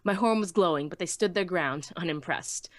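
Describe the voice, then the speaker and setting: A woman's voice: on the low side, moderate pace, no room sound. A woman narrating a scripted first-person story dramatically, as in a fan audiobook.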